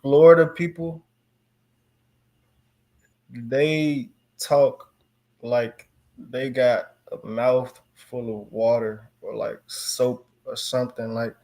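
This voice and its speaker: A relaxed young man with a Chicago accent chats through an interview, trailing off and restarting his thoughts. His voice sits at an ordinary middle pitch for a man. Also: slow pace, pauses often. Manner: relaxed, filler-heavy, chuckles often. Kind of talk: casual interview.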